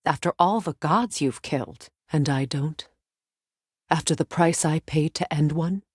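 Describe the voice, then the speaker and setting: A woman's voice: sits low, a little slow, slightly rough, dry recording, studio quality. An actress playing a stern, proud warrior goddess, a battle-hardened mother with a commanding, curt manner, in a fantasy video game. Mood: grave, bitter. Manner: commanding, curt, sharp-tongued. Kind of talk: dramatic fantasy game dialogue.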